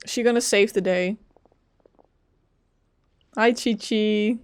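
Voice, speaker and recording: A woman's voice: mid-range voice, steady tone, takes it slowly, smooth. A woman doing casual live reaction commentary as a game streamer, blunt, exclamatory and sarcastic. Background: game music.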